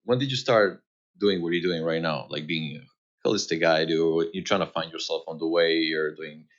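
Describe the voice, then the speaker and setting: A man's voice: extremely low pitch, normal speed, lo-fi sound. A man hosting a podcast, interviewing in a casual, informal way and often repeating words as he goes. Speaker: podcast host.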